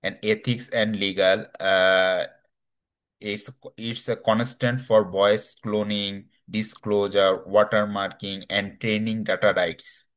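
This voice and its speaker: A man giving a technical slide presentation in a halting, hesitant way, with non-native English phrasing. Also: lowish voice, flat delivery, a bit bright.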